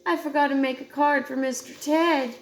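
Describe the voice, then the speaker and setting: A woman voicing an earnest, excitable young male cat from a children's storybook, speaking emphatically. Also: high-pitched, normal speed, slight background noise. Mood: worried.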